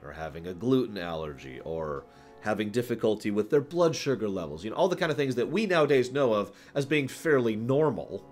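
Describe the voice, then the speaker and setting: A man hosts a casual livestream, thinking out loud as he answers his viewers. His pitch moves around a lot, lively and expressive, and he readily acts out his examples. Music plays behind his voice. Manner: animated, chuckles through his points, acts out examples. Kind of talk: casual off-the-cuff livestream commentary.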